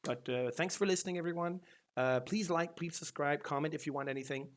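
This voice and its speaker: A man's voice: a little high, fairly quick. A man hosting a casual news roundup on his channel, talking loosely, with many ums and false starts. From Denmark. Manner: loose and rambling, lots of ums and restarts. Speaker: SEO news channel host.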